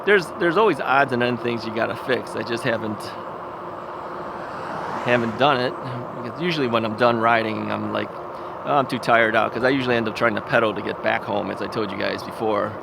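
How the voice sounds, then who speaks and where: Gravelly man on an ebike. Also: medium pitch, fairly quick. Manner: rambling, chuckling, self-questioning. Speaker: vlogger. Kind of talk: casual thinking aloud while riding. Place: outdoors on suburban streets, riding an ebike. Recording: traffic and wind noise outdoors.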